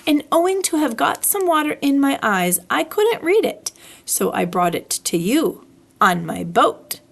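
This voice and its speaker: A woman's voice: high voice, big pitch swings, a little slow, vocal fry, pitched above the performer's own voice, exaggerated voice. A woman voicing a proud, simple, friendly toy bear in a children's storybook, speaking earnestly.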